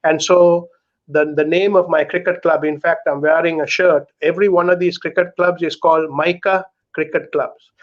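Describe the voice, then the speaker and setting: A man's voice: on the high side, flat delivery, moderate pace, highs cut off. A middle-aged man from Sri Lanka talking earnestly as a guest, often checking in with "right?", heard over a video call. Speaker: author and guest. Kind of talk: candid conversational interview talk.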